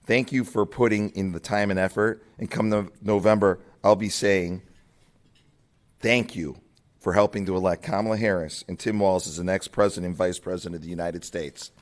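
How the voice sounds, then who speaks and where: A man's voice: low voice, fairly even intonation, moderate pace. A man giving a rousing labor speech at a political breakfast, lifting his voice on key words. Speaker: labor leader speaking at a party breakfast. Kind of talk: rally speech.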